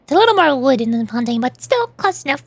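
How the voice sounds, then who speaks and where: A woman's voice: high voice, very animated, fast talker, dry recording. A woman streamer rambling casually, explaining things in a chatty way with playful asides.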